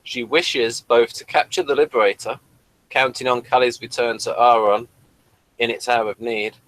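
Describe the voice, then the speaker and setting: A man's voice: mid-range voice, fairly even intonation, normal speed, a bit bright, low-quality recording. A man co-hosting a casual review, talking and reading aloud, sometimes stumbling and correcting himself. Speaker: review show co-host. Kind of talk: casual review chat, reading out an episode synopsis.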